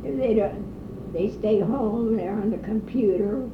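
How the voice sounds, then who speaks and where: An older woman being interviewed, slowly reminiscing about her old neighborhood with pauses, hesitations and the odd cough. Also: mid-range voice. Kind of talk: oral history interview. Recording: distant roomy lo-fi recording with noise.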